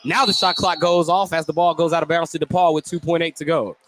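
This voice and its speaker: High-pitched male basketball play-by-play announcer. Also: steady tone, fast talker, a bit bright, a little hiss or hum. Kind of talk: live high school basketball radio commentary.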